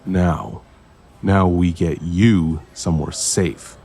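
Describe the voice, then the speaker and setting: An actor playing a grim, protective male investigator who talks tersely and deliberately in an audio drama. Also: sits low, creaky, warm tone, a little hiss or hum, exaggerated voice. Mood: awkward, gentle.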